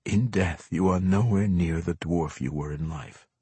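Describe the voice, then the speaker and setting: A man voicing a stern, noble elf warrior in a fantasy story, speaking in a formal, measured and challenging way. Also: deep voice, mellow, breathy edge, no echo, full character voice. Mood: stern disappointment.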